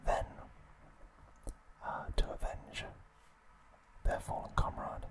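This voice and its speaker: A man whispers an ASMR narration very slowly. His delivery is hushed and unhurried, taking its time through each phrase as a host explaining things for relaxation. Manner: slow, hushed, unhurried trivia recap delivery.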